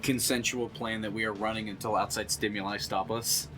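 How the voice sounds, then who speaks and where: A man's voice: lowish voice, flat delivery, a little fast, pushed and bright. Man chatting as a tabletop player, music behind. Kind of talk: casual tabletop roleplay chat.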